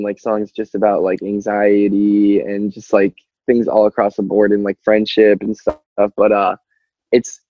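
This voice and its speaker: A man's voice: lowish voice, mellow, no room sound. A young man chatting casually as a musician in an interview, rambling informally with lots of "like", on a slightly muffled recording. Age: young adult.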